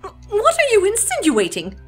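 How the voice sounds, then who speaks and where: An actress playing a female bat pony thief posing as a genteel lady in an audio drama, theatrical and drawn-out. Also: very high voice, wildly swooping pitch, soft background music, full character voice. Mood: indignant, cornered.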